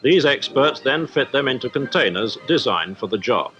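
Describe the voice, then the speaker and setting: A man's voice: mid-range voice, lively intonation, normal speed. A man with a British accent giving a formal, explanatory scripted report as a 1950s newsreel correspondent. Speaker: industrial correspondent. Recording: dull 1950s newsreel soundtrack, music behind. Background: music.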